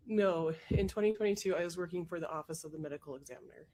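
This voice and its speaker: Woman witness, hoarse voice from a cold, factual and careful, courtroom testimony. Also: fairly low pitch, quick pace, mellow, slight background noise. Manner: matter-of-fact, careful answers. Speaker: trial witness, forensic technician.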